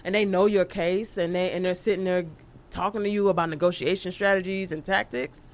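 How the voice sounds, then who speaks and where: Animated laughing woman attorney. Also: fairly low pitch, fairly quick. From New York. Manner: animated, laughing, acts out quoted voices. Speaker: attorney, guest. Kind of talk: casual podcast chat.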